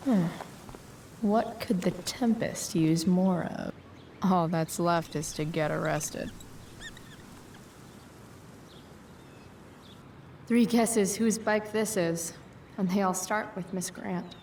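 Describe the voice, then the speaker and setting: A woman's voice: fairly low pitch, slow, a little mellow, a little hiss or hum. A woman playing a defensive, rebellious teenage girl with dry sarcasm in a video game cutscene. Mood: dry, musing.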